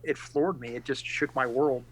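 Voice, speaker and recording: Man, middle-aged, pastor, earnest, reflective, halting, casual interview. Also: mid-range voice, fairly even intonation, a little hiss or hum, low-quality recording. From California and Texas, USA. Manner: reflective and earnest, with frequent pauses and restarts.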